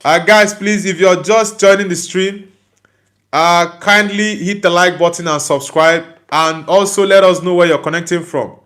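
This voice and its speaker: A man's voice: high voice, normal speed, a bit bright. A young Nigerian man hosting a livestream. He reads viewer comments aloud, answers each one in a friendly way, and keeps asking for likes and subscribes. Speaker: livestream host reading chat. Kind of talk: casual live chat.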